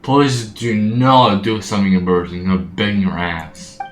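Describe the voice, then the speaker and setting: A man's voice: lowish voice, steady tone, normal speed, soft background music. A man giving casual gameplay commentary as a streamer, with muttered, exclamatory reactions. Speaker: game streamer.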